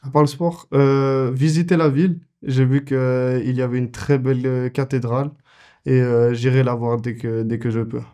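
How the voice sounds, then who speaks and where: A man's voice: mid-range voice, little pitch movement, normal speed, dark tone. A man in his twenties, a goalkeeper answering interview questions casually, with many fillers and restarts. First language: French. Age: 20s.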